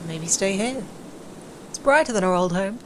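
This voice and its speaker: A woman's voice: medium pitch, animated, normal speed, close to an everyday voice, low-quality recording. A woman playing a hopeful refugee settler looking to a new start in an audio drama. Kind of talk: scripted audio drama acting. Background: faint ambient noise.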